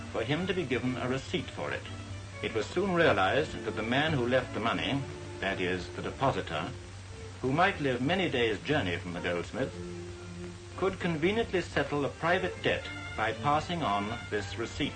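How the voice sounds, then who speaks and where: Guy doing formal 1940s film narration, lively up and down pitch, music playing behind him. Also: rough recording. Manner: formal, measured, didactic. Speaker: documentary narrator. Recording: vintage 1940s film soundtrack.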